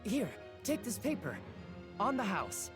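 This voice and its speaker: An actor playing a young newspaper seller who is nervous, chatty and quick to apologize. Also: male voice, high voice, huge pitch swings, over music, heavily acted. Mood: apologetic. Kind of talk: video game voice acting.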